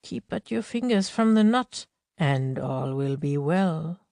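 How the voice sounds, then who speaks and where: A woman acts out a solemn, loving husband from a mythic audiobook play. The delivery is slow and deliberate, in a mellow, dry-recorded voice. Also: deep voice, expressive, gravelly, no room sound, exaggerated voice. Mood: earnest warning. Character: Epimetheus, tender, solemn, protective husband of myth. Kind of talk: amateur audiobook play reading.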